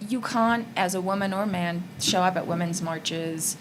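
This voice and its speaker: A woman's voice: lowish voice, moderate pace. A woman giving blunt opinions in a casual roundtable discussion. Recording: clear background noise at live event.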